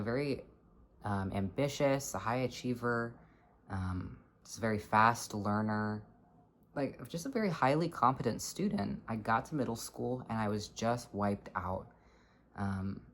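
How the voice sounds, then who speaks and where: A young adult in their twenties speaks in a low voice at an ordinary, mid-range pitch. They talk earnestly and haltingly, pausing to search for words as they reflect aloud. A faint hiss sits beneath the voice. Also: fairly bright tone. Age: 20s. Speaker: vlogger. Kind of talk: personal reflective monologue.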